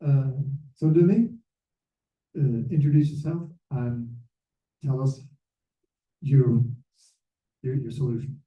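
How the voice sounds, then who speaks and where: A man's voice: mid-range voice, takes it slowly, long pauses, distant mic. Man moderating in a muffled, echoey room. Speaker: panel moderator and speaker. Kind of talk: public panel talk. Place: echoey room at a panel event.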